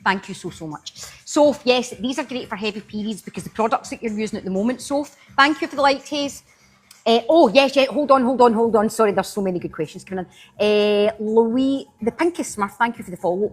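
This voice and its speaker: A woman with a Glaswegian accent hosting a casual live-stream product demo, chatting and thanking viewers by name as she goes. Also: on the high side. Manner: chatty, answers viewers by name while demoing. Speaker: live-selling host.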